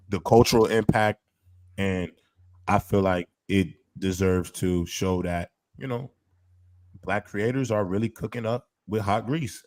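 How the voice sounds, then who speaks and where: Low-voiced man, emphatic and slangy. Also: darkish tone, dry recording. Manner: emphatic, slangy, filler-heavy. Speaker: podcast co-host. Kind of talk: casual chat.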